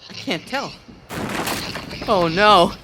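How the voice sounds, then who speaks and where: A man's voice: high-pitched, expressive, slow, loud music behind the voice. A woman playing a frightened young boy in a radio horror drama. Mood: nervous.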